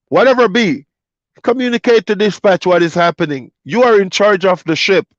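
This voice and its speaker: A man's voice: high-pitched, dry recording, muffled recording. A man hosting a live stream as a trucker, talking casually and reading chat comments aloud. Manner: laid-back, loose, reads chat comments aloud.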